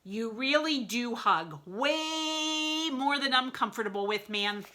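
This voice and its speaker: A woman's voice: fairly high pitch, animated, slow pace, sharp tone, voice pushed up, heavily acted. A woman voicing a blunt, grumpy male snake in a storybook with a dry, dismissive manner.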